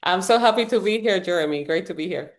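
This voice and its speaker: A woman's voice: lowish voice, lively intonation, fast. A woman in her forties from Puerto Rico, a Spanish speaker with a Puerto Rican accent, talking as a podcast guest with plenty of fillers and restarts. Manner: rambling with many fillers and restarts. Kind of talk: conversational interview.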